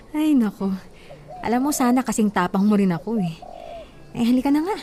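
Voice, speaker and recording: A woman's voice: fairly high pitch, big pitch swings, normal speed, mellow. A woman playing a weary, long-suffering wife in her thirties, meek and evasive, in a Filipino radio drama. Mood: downplaying.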